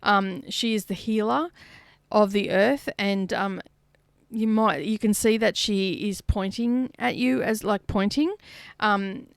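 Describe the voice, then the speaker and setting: A woman chats casually about her crafts and a card reading, rambling along with plenty of ums. Her voice sits at a middling pitch and is recorded dry and close. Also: smooth, no echo. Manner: rambling, lots of um fillers. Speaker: crafting channel host.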